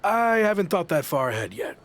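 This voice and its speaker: Confident, wry pro wrestler, faint ambience. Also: male voice, sits high, big pitch swings, brisk, a little hiss or hum. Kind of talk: video game cutscene dialogue.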